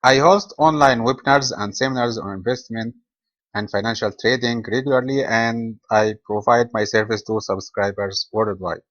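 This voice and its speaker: A man from Egypt hosts an online trading webinar, reading his lesson at a steady, even pace with slightly non-native phrasing. The recording is dry and somewhat dull, with a mid-range voice. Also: medium pitch, normal speed, no room sound. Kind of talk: scripted webinar lecture.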